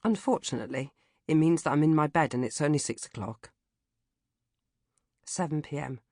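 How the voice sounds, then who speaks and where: A British woman reading comic first-person audiobook narration in a droll, expressive manner. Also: deep voice, moderate pace, no room sound, clean studio sound. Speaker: author reading own audiobook.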